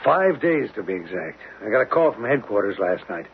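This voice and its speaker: An older American actor playing a gruff, impatient veteran oil boss in a radio drama, grumbling and blunt. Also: male voice, on the low side, sing-song, fairly quick. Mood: exasperated. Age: older, around 60. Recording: dull lo-fi old radio recording, faint noise.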